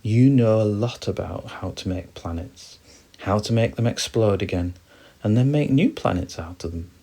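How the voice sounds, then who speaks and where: A man voicing a small, eager young star, childlike and excitable, in a bedtime story. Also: fairly low pitch, normal speed. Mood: grateful.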